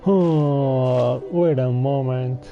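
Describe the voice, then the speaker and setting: A man giving casual, halting gameplay commentary and reading on-screen text aloud. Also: mid-range voice, gravelly, highs cut off, over music. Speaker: video game streamer.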